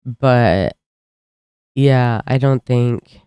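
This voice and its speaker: A woman's voice: very deep voice, very slow, smooth, dry recording, clean studio sound. An American woman from the United States chatting casually as she reacts to a video. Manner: relaxed, chatty asides. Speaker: reaction channel host.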